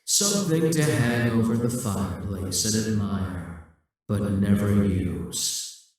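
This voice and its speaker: A man playing an arrogant, possessive demon king in an ASMR roleplay, slow and condescending, taunting with smug assurance. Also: lowish voice, expressive, darkish tone. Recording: very echoey, distant from the mic.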